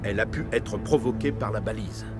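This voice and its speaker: An actor playing a serious, resolute human military captain in a French video game. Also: male voice, on the low side, fairly quick, loud music behind the voice, lightly acted. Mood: grave. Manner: serious, firm.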